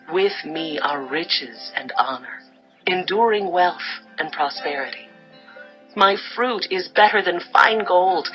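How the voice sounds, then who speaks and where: A woman's voice: mid-range voice, lo-fi sound. An actress playing Wisdom as a solemn, commanding female spirit, in a stately, declamatory dramatized scripture reading. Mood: gracious, solemn.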